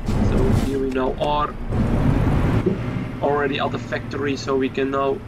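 A young man from Belgium with a Dutch accent, a native Dutch speaker, giving casual, hesitant gameplay commentary in English. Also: fairly high pitch. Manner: plain, hesitant, with frequent fillers. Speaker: gaming YouTuber. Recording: game truck sounds underneath. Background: loud truck engine and road noise.

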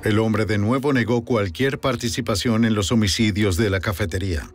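A man giving measured scripted narration for a crime documentary, recorded as a voice-over. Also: on the low side, over music.

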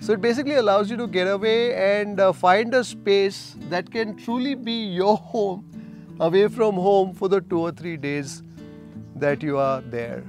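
Brief: middle-aged man, vlog host, chatty, mildly high voice, outdoor lapel sound. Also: moderate pace, faint music behind. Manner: chatty, fluent, with frequent "uh" fillers. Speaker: travel vlogger. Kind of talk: casual vlog narration to camera. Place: outdoors in a garden.

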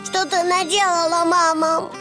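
A very high-pitched, playful little boy donkey from a children's cartoon. The delivery is simple and childlike, at a very slow pace. Loud music plays behind the voice. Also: flat delivery. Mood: upset.

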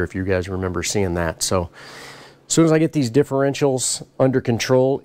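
A middle-aged man giving a casual walk-around tour of his race car shop, explaining parts in a relaxed, practical way. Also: fairly low pitch, clear, resonant tone. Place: large garage workshop, indoors.

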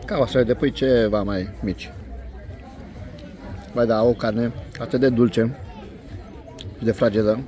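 Man, food vlogger, dark relaxed voice, unhurried and appreciative, outdoor terrace, faint music and chatter behind. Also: medium pitch. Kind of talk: casual food review vlog. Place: outdoor restaurant terrace by a lake.

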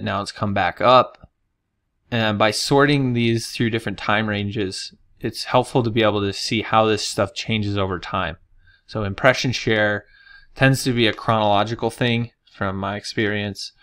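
A man talking casually through a screen-recorded software tutorial, explaining as he goes with the odd filler. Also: fairly low pitch, clean tone. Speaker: marketing consultant.

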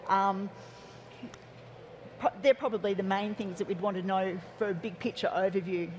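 A woman's voice: medium pitch, fairly even intonation, normal speed, a little mellow, a little hiss or hum, low-quality recording. A woman speaking as an ICT director on a conference panel, friendly and matter-of-fact. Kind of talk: panel discussion answer.

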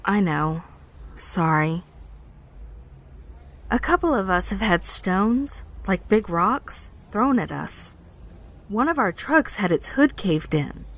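Podcast drama: self-conscious young woman ranger, middle-pitched, hesitant, nervously confiding. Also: animated, slow pace, long pauses. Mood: shaken, confiding. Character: uneasy, apologetic young female park ranger. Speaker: actor in audio drama. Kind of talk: naturalistic podcast drama dialogue, recounting.

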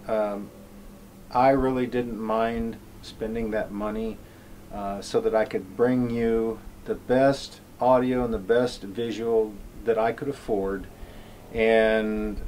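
A man's voice: lowish voice, a little mellow, slight background noise, rough recording. A middle-aged man talking casually to camera on an outdoor cabin porch, rambling with many ums and uhs. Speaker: homestead YouTuber.

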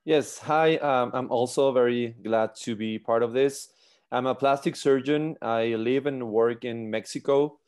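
A man introducing himself as a panelist on a video call, polite and pausing often with fillers. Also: medium pitch, a little mellow, clean tone. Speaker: plastic surgeon panelist.

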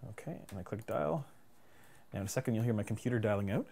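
A man with a fairly low, mellow voice walks through a software demo. He explains things plainly and informally, with some hesitation, as in a casual screencast. There is faint noise in the recording. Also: quick pace. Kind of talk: casual screencast tutorial narration. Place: indoors at a computer. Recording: clear background room noise.

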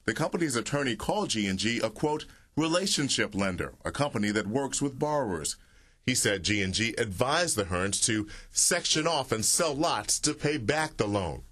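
Measured male news reporter. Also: medium pitch. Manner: steady, measured delivery. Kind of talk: scripted news narration.

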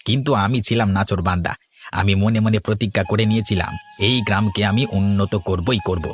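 A man's voice: fairly low pitch, fast talker, faint music behind, lightly acted. A man voicing a proud, earnest village farmer and husband in a cartoon, firm and persuasive.

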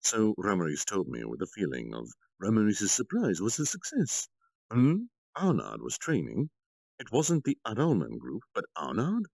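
A man reading a story aloud on a livestream, with expressive narration and dramatic, measured phrasing. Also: on the low side, gravelly, dry recording. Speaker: storytime streamer, reader.

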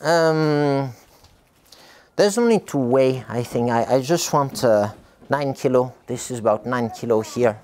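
A man's voice: mid-range voice, a little slow, a little mellow, slight background noise. A middle-aged man, a tea farmer, rambling casually through a vlog in his tea factory, full of filler sounds and restarts. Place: tea factory, indoors by a hot wok.

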